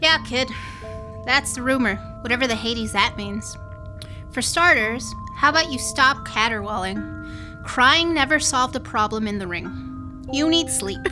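A woman playing a tough, guarded spaceship captain with a soft side, blunt and gruff in manner, in a science fiction audio drama. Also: fairly high pitch, expressive, a little slow, music in the background. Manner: blunt, gruff, clipped commands.

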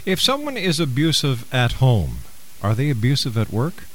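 A man's voice: mid-range voice, huge pitch swings, moderate pace. A man hosting a radio interview, courteous and affectionate with his guest, with some stammered restarts. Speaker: radio talk show host.

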